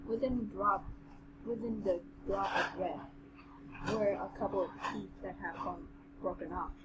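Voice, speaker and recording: A woman with a medium-pitched voice reads a story aloud as an amateur podcast host, dropping in casual comments. The recording has very loud background noise. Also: measured pace. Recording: heavily noisy room recording.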